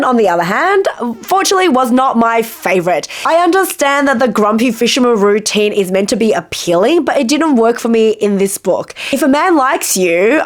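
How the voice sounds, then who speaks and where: A woman reviewing books in a casual vlog, chatty, wry and opinionated, her voice a little croaky after being ill. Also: a little high. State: slightly croaky from illness. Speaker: book reviewer.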